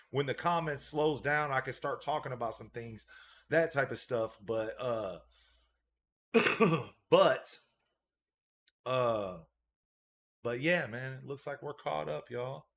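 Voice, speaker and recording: A man's voice: medium pitch, unhurried, fairly bright tone. A man hosting a casual livestream as a dog breeder, laid-back, reading out and thanking viewer donations, often repeating a catchphrase.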